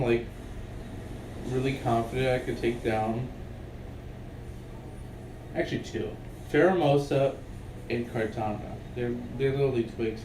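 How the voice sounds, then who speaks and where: A man's voice: mid-range voice, warm tone. A young man with a congested, sniffly voice, chatting offhand to his stream as he plays. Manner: rambling, offhand, talks to himself. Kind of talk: casual stream chatter while playing. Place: room at home. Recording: echoey room, mic at a distance, background noise.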